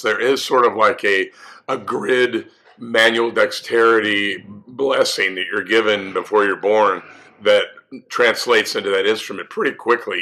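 Hoarse older man telling stories. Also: on the low side, rough recording. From California. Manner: relaxed, storytelling. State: hoarse from a cold. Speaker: guest musician. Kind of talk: casual interview. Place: home music room with guitars.

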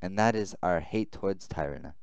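A man's voice: deep voice, fairly quick, creaky, clear, resonant tone, no room sound. A man voicing a calculating, persuasive male rebel soldier in a visual novel game, coaxing as he talks.

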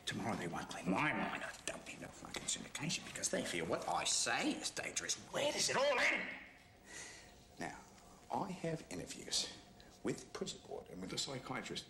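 A man's voice: lowish voice, reverberant, background noise. An actor playing a sensationalist male TV journalist in a film satire, with an emphatic, profane, salesman-like patter. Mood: conspiratorial pitch. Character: sensationalist, hustling male TV journalist buttering up a killer.

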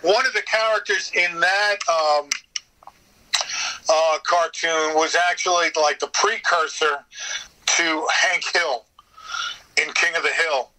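A man's voice: on the high side, slow pace, long pauses, bright, forceful tone, poor audio. An American man telling anecdotes in a casual, rambling, profane interview chat as a voice actor. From United States. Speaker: podcast guest, radio theater voice actor.

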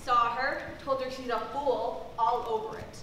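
A woman's voice: a little high, fairly even intonation, a little slow, sharp tone. A young woman playing a sour, bossy, sarcastic supermarket cashier in an amateur stage comedy, snarky and complaining. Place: indoor theatre stage. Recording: echoey hall from far off, noisy audience.

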